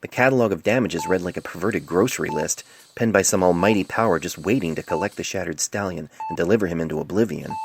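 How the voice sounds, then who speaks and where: A man reading a scripted story as an amateur narrator, measured and literary, recorded on a cell phone microphone. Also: sits low, creaky, noisy background.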